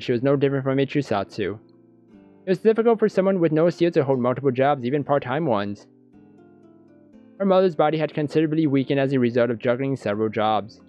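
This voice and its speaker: A man's voice: medium pitch, a little mellow. Dull-sounding man narrating a visual novel. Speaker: gaming YouTuber reading a visual novel aloud. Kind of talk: let's play narration with off-the-cuff reactions. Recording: dull lo-fi audio. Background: guitar background music.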